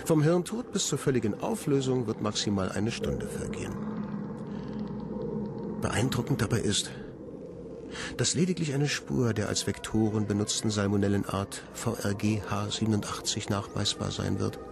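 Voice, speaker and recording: An actor playing a cold, clinical scientist with deadly plans in a radio drama, speaking in a detached, formal, precise manner. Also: male voice, fairly low pitch, normal speed, creaky, background noise. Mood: coldly clinical. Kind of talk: German radio drama monologue.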